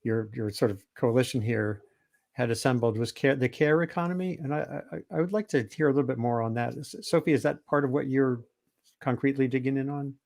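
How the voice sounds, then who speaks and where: A male host with a gravelly, mid-pitched voice asks his questions haltingly, stopping and restarting his phrases. He speaks over a video call. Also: fairly even intonation, darkish tone. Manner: hesitant questions with many restarts. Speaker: webcast host. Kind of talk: hosting a webcast discussion.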